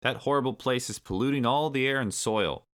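Man acting immense rhinoceros, blunt, emphatic, forceful voice, storybook creature. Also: medium pitch, a little fast, pitched above the performer's own voice, close to an everyday voice. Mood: grave. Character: immense blunt rhinoceros ally who hates his foes.

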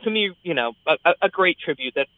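An American theater critic talking conversationally in a radio discussion. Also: male voice, on the high side, expressive, quick pace. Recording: dry, narrow phone-like line.

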